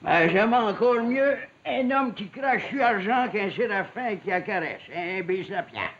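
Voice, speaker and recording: Casting: blunt rustic, high voice with big swoops, Quebec French accent, period TV drama, dull old soundtrack. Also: male voice, a little slow, exaggerated voice. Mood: hearty. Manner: rustic, colloquial, animated. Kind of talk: 1950s television period drama acting. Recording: muffled, faintly noisy 1950s television soundtrack.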